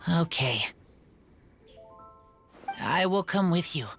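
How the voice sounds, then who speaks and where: Young feral boy in an anime game, slow broken sentences, low earnest voice with lively rises. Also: gravelly, soft background music, exaggerated voice. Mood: pensive. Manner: halting, broken simple sentences.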